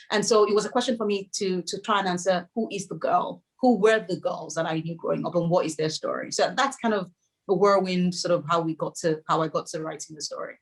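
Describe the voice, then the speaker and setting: A woman's voice: on the low side, low-quality recording. A woman novelist from Nigeria whose first language is Yoruba, giving thoughtful interview answers with frequent restarts over a video call.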